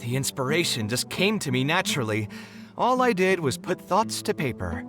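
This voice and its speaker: An actor voicing a young male architect in a game, earnest and meticulous, with brisk businesslike planning talk. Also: a little high, big pitch swings. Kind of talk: game voice acting. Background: game music.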